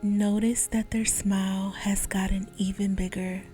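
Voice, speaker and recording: Soft whispery woman voice, guided meditation, mellow and low-key. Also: flat delivery, faint music behind. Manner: soft, slow, soothing, often whispered.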